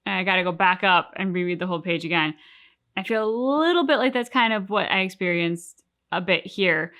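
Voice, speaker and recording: Woman, reaction co-host, bright clear-cut tone, casual chat, animated delivery. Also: fairly low pitch, a little fast. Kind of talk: casual discussion of game cutscenes.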